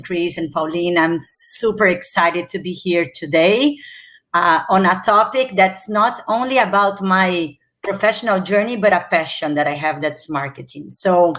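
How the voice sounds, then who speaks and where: Woman guest, slightly low pitch, polite and eager, on a video call. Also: normal speed. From Brazil. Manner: warm, polite, enthusiastic. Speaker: marketing executive guest. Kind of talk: interview answer.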